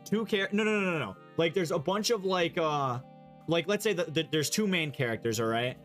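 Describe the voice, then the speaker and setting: A man's voice: fairly high pitch, animated, a little fast, a bit bright, soft background music. A young man chatting casually and rambling while playing a game on stream, swearing often, on a headset. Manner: rambling, swears, laughs a lot. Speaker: gaming streamer. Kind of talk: casual let's-play chat.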